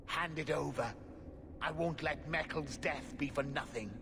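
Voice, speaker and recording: An actor playing a vengeful gang thug in a fantasy game, threatening in manner. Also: male voice, a little high, creaky, in a large hall, background noise. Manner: slow, threatening. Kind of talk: dramatic game dialogue.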